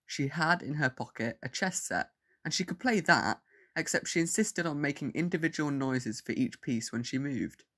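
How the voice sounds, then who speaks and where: A man's voice: fairly high pitch, expressive, moderate pace, fairly bright tone. A man narrating a scripted audio story as its narrator.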